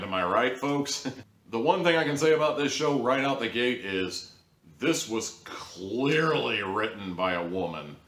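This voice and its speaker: A man reviewing an audio drama in a rambling, sarcastic monologue, his pitch jumping up now and then for emphasis. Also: sharp tone, reverberant.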